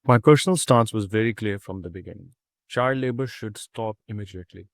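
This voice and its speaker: A young man from Pakistan, a student, reading a prepared reflection in a steady, earnest way with small restarts. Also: on the low side, brisk, no room sound.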